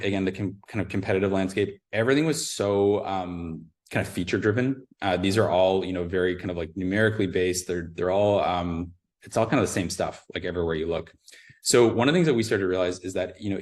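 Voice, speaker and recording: A man giving an informal case-study presentation as a brand strategist, explaining things conversationally with frequent hedging filler phrases. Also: low-pitched.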